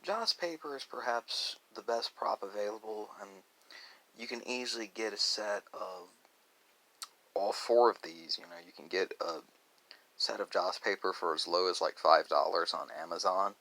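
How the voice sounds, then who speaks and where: A man chatting casually in an ASMR video, rambling and halting, with frequent asides. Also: on the low side, bright, forceful tone, poor audio. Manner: rambling, halting, with asides and repeated "you know".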